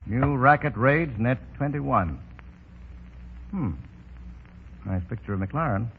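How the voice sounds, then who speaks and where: Husky, cocky 1930s gangland tough guy. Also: mid-range voice, lively intonation, takes it slowly, full character voice. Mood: wry, sardonic. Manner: clipped gangland slang, sardonic. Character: cocky, shrewd tough racketeer, wry and commanding. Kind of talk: 1930s radio drama acting. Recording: dull old 1930s radio broadcast.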